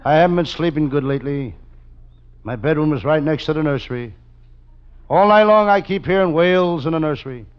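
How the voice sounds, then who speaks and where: An actor playing a world-weary, wisecracking private detective in an old-time radio comedy, delivering puns and narration deadpan. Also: male voice, fairly high pitch, a little fast, smooth, lightly acted. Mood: deadpan, wry. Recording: muffled, very noisy old radio recording.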